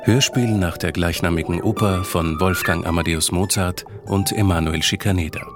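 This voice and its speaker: A man's voice: deep voice, fairly even intonation, normal speed. A man reading a scripted radio drama title announcement as the announcer. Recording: music behind the voice.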